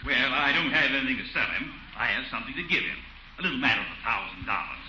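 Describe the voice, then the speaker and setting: Actor as animated, polite insurance salesman. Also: medium pitch, fast, close to an everyday voice. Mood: courteous. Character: polite, smooth-talking male insurance salesman, ingratiating. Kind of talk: 1940s radio sitcom acting. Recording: very noisy, dull vintage radio recording.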